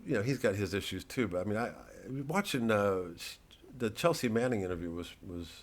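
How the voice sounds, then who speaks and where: Low-voiced, rambling male comedian. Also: lively intonation. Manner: digressive, sweary, halting. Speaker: comedian, host. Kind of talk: rambling casual monologue.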